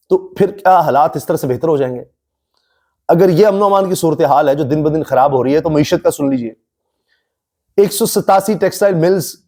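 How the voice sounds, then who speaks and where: A man's voice: fairly high pitch, warm tone. A man with a Pakistani accent delivering a political commentary monologue as a host, earnest and explanatory, repeating phrases for emphasis.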